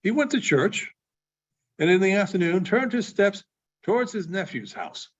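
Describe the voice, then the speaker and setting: A man giving a dramatic reading of a story, theatrical, with pauses between phrases. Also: sits high, big pitch swings, slightly rough, highs cut off. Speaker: storyteller, librarian.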